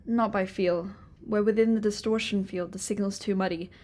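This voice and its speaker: A woman playing a calm, otherworldly sensing partner, measured, formal and detached, in an audio drama. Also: mid-range voice, natural voice. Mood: serious, measured.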